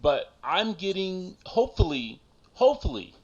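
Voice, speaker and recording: A man's voice: high-pitched, big pitch swings, normal speed. A man in a casual group discussion, speaking emphatically and persuasively, often ending points with "right?". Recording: dull lo-fi audio.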